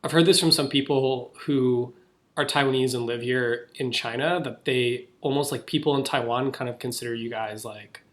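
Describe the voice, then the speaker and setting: Young man, bright tone, rambling casual chat, lots of likes and restarts. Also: medium pitch, steady tone, normal speed. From United States. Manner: chatty, filler-heavy, many restarts. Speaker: podcast co-host.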